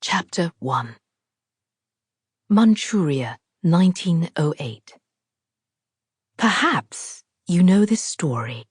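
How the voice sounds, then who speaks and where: Female audiobook storyteller, deliberate and measured, lowish husky voice, recorded very dry. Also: very animated, no echo. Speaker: author narrating her own audiobook. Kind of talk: scripted literary narration, storytelling.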